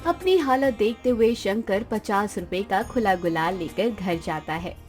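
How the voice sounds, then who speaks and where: A woman's voice: medium pitch, measured pace, a little mellow, faint music behind. A woman reading scripted narration for a moral story as its narrator.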